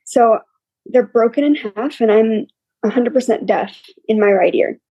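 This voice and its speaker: A young woman with a scratchy, quiet voice, openly telling her story as an interview guest in a plain, matter-of-fact way. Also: fairly high pitch, a little slow, no room sound, muffled recording. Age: young adult. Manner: open and matter-of-fact, listing hardships plainly. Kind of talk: candid personal storytelling.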